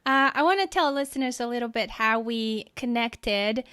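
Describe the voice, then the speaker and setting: A woman's voice: fairly high pitch, normal speed, a bit bright. A woman hosting a podcast, interviewing in a casual, enthusiastic way. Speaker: podcast host.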